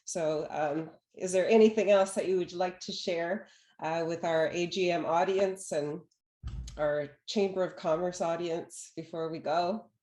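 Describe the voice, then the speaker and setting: A woman hosting a video call meeting, introducing speakers with many pauses and restarts. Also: lowish voice, steady tone, mellow, echoey room. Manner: hesitant, many fillers and restarts. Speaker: host.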